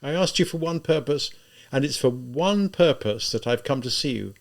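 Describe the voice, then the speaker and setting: A man voicing a tormented, spiteful young murderer in an audiobook, halting and emphatic as he reproaches himself. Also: mid-range voice, expressive, pitched above the performer's own voice, natural voice. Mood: bitter, pleading.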